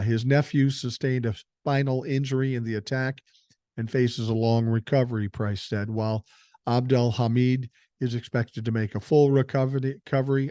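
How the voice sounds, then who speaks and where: A man's voice: mid-range voice, dark tone, no echo, clean studio sound. An older man hosting a political podcast, giving blunt, profane opinions and reading news stories aloud. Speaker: podcast host.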